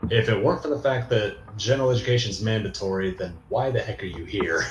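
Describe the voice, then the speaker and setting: Man, lowish voice, casual folksy co-commentator who hedges his points; noticeably noisy recording. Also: fast, lo-fi sound. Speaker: reaction show co-commentator. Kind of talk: casual chat.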